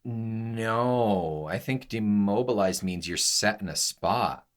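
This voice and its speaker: Low voiced guy on a chill podcast, laughs a lot, easygoing. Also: slow. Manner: easygoing, laughs often, reads definitions aloud. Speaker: podcast co-host. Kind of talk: casual chat about song lyrics.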